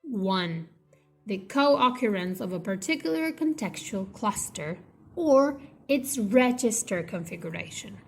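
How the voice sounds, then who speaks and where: A woman reading an academic textbook aloud as scripted narration, with measured, deliberate phrasing in short segments. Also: medium pitch, expressive, gravelly, soft background music.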